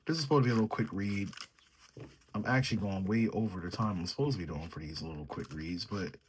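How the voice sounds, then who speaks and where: A man with a mellow, sleepy way of talking, reading tarot cards and thinking aloud as he draws them. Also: low-pitched, fast, slight background noise. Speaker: tarot reader. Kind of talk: solo tarot reading, casual chat.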